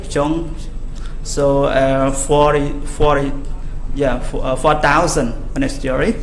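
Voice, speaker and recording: A man from Cambodia with a Cambodian accent, whose first language is Khmer, giving a conference talk in an informal, joking way with many fillers and restarts. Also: on the high side. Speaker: conference speaker. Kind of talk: conference talk to a live audience. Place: large conference hall. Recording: echoey hall, faint background noise.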